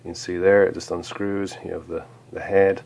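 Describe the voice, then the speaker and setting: A man casually reviewing a flashlight for his channel, relaxed, with many ums and uhs, just over a bad cold. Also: low-pitched, moderate pace, vocal fry, background noise. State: recovering from a cold.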